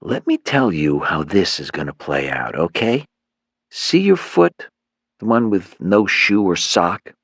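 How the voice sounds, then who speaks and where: A man's voice: low-pitched, sing-song, a little slow, slightly rough, dry recording, clean studio sound, heavily acted. A man voicing a swaggering, toothpick-chewing male thug leader who is dim but menacing, in a slangy, cocky manner.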